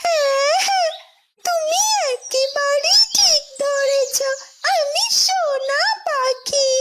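A woman's voice: very high voice, slow pace. A woman voicing a small, loyal golden bird in a cartoon fairy tale, caring, anxious and fussing. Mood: excited. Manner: chirpy, fussing, repeats itself. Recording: loud music behind.

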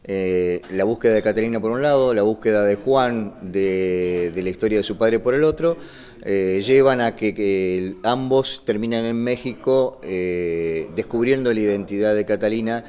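A man in his fifties with an Argentine accent, explaining his work in an interview, haltingly and at length. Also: on the low side, darkish tone. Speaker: radio drama scriptwriter. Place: small wood-panelled room indoors. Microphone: microphone just in front.

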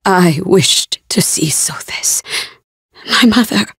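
Solemn fantasy game woman, husky breath, unhurried, mournful. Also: on the low side, lively intonation, a bit bright, no room sound, in a whisper, clean studio sound, exaggerated voice. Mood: solemn, yearning. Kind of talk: video game voice acting.

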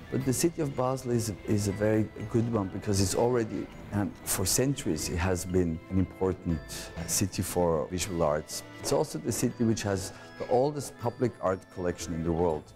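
A man explaining history in a hesitant way, with pauses and fillers. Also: deep voice, mellow, faint music behind.

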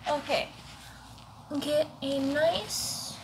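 A young woman doing soft-spoken ASMR roleplay as a gentle giant monster, halting and sighing as she talks. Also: high-pitched, a little hiss or hum, rough recording. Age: young adult. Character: gentle giant female monster with sore feet. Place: living room, indoors on a couch.

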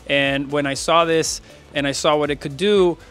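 A man's voice: fairly high pitch, steady tone, moderate pace, a bit bright, clear, resonant tone, faint music behind. A man from Venezuela giving an earnest personal account in a conference interview. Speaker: interviewee, bitcoin miner.

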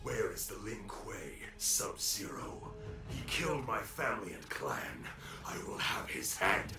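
A fierce, proud undead ninja for a video game. The menacing, declamatory voice rises and falls dramatically with a strong echo, set over loud music. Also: male voice, lowish voice, big pitch swings, unhurried. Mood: vengeful fury.

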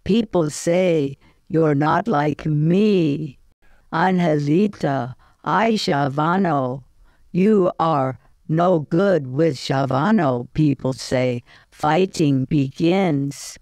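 A man acting a young man who rehearses a lover's part in a school musical, with halting, melodramatic line readings. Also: on the high side, darkish tone, dry recording. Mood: solemn.

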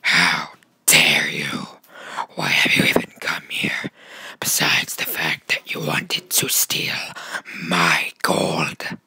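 A man voicing a proud, menacing dragon in a raspy, hissing whisper, speaking pompously in a comedy skit. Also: extremely low pitch, fairly even intonation, pushed and bright, pitched below the performer's own voice, full character voice. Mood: indignant. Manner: pompous, slow, threatening.

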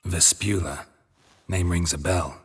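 Game casting: gruff, laconic monster hunter; deep, very husky, deadpan, world-weary. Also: male voice, slow, dry recording, heavily acted. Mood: dry, unhurried. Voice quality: low, gravelly rasp. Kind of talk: video game cutscene acting.

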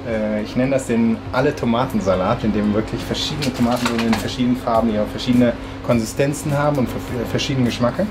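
A man's voice: medium pitch, fast talker, hardly any pauses. Chatty German man cooking at home. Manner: relaxed, chatty, many filler hesitations. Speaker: interviewed chef. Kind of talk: casual cooking demo and interview. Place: home kitchen. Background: background music.

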